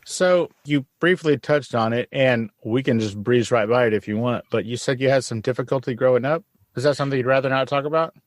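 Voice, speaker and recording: A man's voice: medium pitch, brisk, few pauses, no echo. Laid-back joking male podcast host. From San Antonio, Texas. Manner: jokey, laid-back, self-deprecating. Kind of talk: casual podcast interview.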